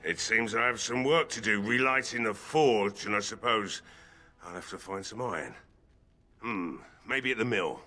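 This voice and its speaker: A bitter village blacksmith sunk in drink and grief. His voice is bright and forceful, with a weary, sardonic drawl, over background music, in a fantasy video game. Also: fairly low pitch, normal speed. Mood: resigned. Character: grieving, drunken, bitter village blacksmith, a father. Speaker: voice actor in a fantasy role-playing game. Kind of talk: video game dialogue acting.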